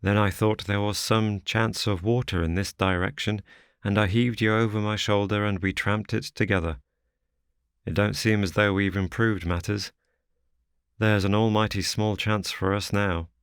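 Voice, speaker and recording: A man voicing a weary, hardened frontier adventurer who talks in rough, plain speech. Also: lowish voice, fairly even intonation, normal speed, no echo, studio quality, voice pushed up, close to an everyday voice.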